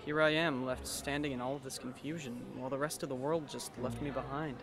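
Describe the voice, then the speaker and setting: A man's voice: medium pitch, steady tone, fairly quick, a crowd in the background, natural voice. A man playing a sardonic, bewildered teenage schoolboy who narrates an anime fandub with dry incredulity. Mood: ominous, dismayed. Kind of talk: anime fandub, inner monologue and dialogue.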